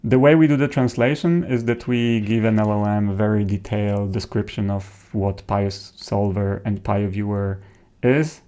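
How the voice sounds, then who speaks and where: Male software presenter, native Polish speaker with Polish accent, lowish voice, halting informal demo delivery. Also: fairly even intonation, smooth. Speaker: software developer presenting a tutorial.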